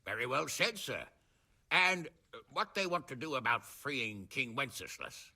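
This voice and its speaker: An actor playing a gruff, commanding medieval lord in a game cutscene, with a rough gravelly voice and blunt delivery. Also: male voice, medium pitch, big pitch swings, unhurried, pushed and bright, exaggerated voice. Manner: blunt, emphatic. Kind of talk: video game cutscene acting.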